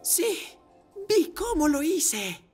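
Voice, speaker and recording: Woman voicing a high, lively hapless cartoon motorbike. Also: extremely slow, over loud music, heavily acted. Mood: matter-of-fact. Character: clumsy, hapless motorcycle tack deliverer. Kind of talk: children's cartoon dub acting.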